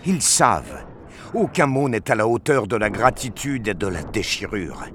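Low-voiced male story narrator over music. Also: expressive, normal speed. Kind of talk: dramatic scripted narration of a sci-fi action story.

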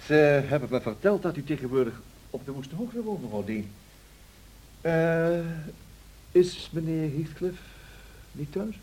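Polite gentleman visitor, soft dark tone, expressive, old radio drama w faint hiss. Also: fairly high pitch, a little slow, pauses often. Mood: courteous. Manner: courteous, murmured interjections. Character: polite gentleman caller, taken aback. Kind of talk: radio play acting.

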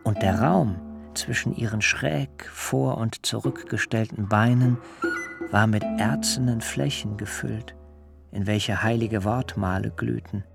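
Biblical dreamer, slow solemn delivery, gravelly edge, rapt and awed, radio drama. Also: male voice, on the low side, music in the background. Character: Jakob, awed biblical patriarch recounting his dream vision. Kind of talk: literary radio drama monologue.

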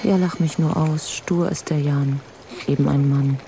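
A lonely fisherman's wife in a German radio drama, musing wistfully to herself. Her voice is slightly low and slow. Running water is clearly heard behind her. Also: steady tone, background noise. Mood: wistful chatting. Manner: musing, unhurried. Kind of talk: German radio play monologue. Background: trickling water.

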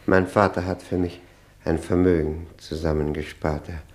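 Schauspieler als tiefer, monotoner, gebrochener Entlassener. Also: male voice, deep voice, natural voice. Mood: resigned. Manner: subdued, brief, halting replies. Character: Maurizius, broken, resigned man released from prison. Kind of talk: 1960s German radio drama acting.

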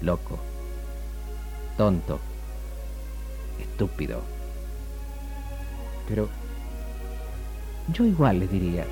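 An actor with an Argentine accent playing a lonely, melancholy man narrating a letter to a lost love in a 1960s radio drama, with measured, literary phrasing. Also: low-pitched, very animated, full character voice. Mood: pained, confiding. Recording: 1960s radio recording, music underneath. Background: faint music.

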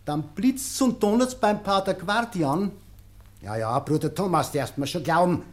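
An actor playing a bossy but fair-minded kitchen brother in a radio play, admonishing in a Bavarian accent. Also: male voice, sits high, lively intonation. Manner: brisk, admonishing. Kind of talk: radio play dialect acting.

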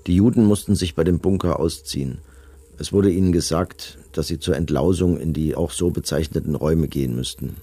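A man's voice: a bass voice, fairly quick, warm tone, husky, dry recording, heavily acted. An actor playing a cold, bureaucratic male camp commandant, reading official reports in a formal, detached way for a documentary radio drama.

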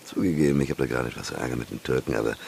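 An actor playing a slick, self-assured red-light boss in a German radio drama, jovial and expansive with menace underneath. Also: male voice, very deep voice, racing, gravelly, a little mellow, a little hiss or hum, exaggerated voice. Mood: evasive, smug.

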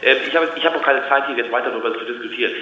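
A man's voice: mid-range voice, very fast, sharp tone, echoey room, muffled recording, a little hiss or hum. A man arguing on a call in a blunt, confrontational, stammering way. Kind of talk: annoyed phone argument.

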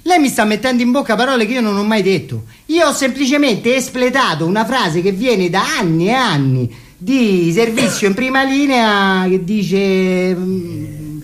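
A woman's voice: mid-range voice, lively intonation, moderate pace, few pauses, close to an everyday voice. A woman playing a pompous, evasive police officer in satirical radio theatre, long-winded and bureaucratic. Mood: indignant.

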